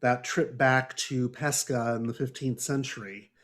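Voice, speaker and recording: A man with a medium-pitched voice chats about a book. He speaks casually and conversationally, as one panelist in a book club podcast. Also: steady tone, a little slow, fairly bright tone.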